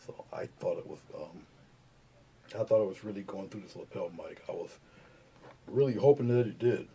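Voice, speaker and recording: A soft-spoken man with a low voice that does not project, talking casually to his live viewers as he paints at home. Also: normal speed. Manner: soft-spoken, mumbling asides while working. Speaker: painting livestream host. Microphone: camera's built-in mic, not the lapel mic. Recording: noisy, low-volume webcam audio.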